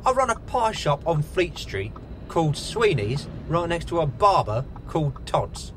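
An unrepentant pie seller driving a car in an audio drama. Her voice is low and deadpan, yet slides around expressively. Clear engine noise surrounds her. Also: unhurried. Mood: blasé. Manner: deadpan, blasé. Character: unrepentant, darkly wry pie-shop woman driving a car. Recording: noisy car interior.

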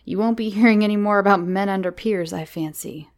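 A woman's voice: mid-range voice, a little fast, close to an everyday voice. A woman voicing a sly, mocking man who teases in a mock-sinister way, in a story reading. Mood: wry. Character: teasing, sly man, mocking adult lover of mother.

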